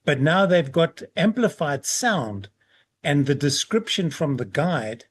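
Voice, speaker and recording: A man's voice: fairly high pitch, lively intonation, slow, breathy edge. A man hosting a podcast interview, chatty and affable as he asks his questions. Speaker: podcast host.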